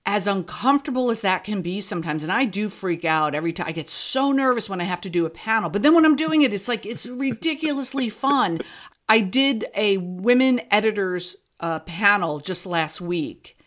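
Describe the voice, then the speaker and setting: Female podcast guest, medium register, conversational, self-deprecating and animated, with emphatic repeats. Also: mid-range voice, moderate pace. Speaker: guest, comedy fiction podcast creator. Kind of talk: casual conversational interview.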